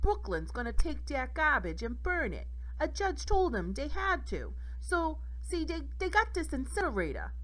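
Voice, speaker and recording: Woman acting storybook boss man, brash, slangy, wheeler-dealer, lively swooping pitch, forceful. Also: moderate pace, rough recording. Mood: upbeat. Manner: casual slangy deal-making talk.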